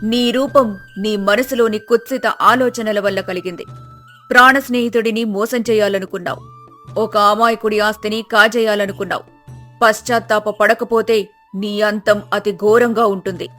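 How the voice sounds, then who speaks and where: A woman's voice: mid-range voice, fairly even intonation, normal speed, fairly bright tone, soft background music, natural voice. A woman voicing the grave, admonishing divine spirit of a magic mirror in a storybook tale. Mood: stern warning.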